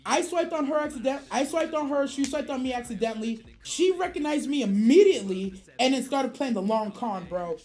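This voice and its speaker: High-pitched, animated American woman streamer. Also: brisk, hardly any pauses. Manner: animated, profane, slangy, acts out quoted dialogue. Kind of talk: casual storytelling on a livestream. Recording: music behind the voice. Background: music.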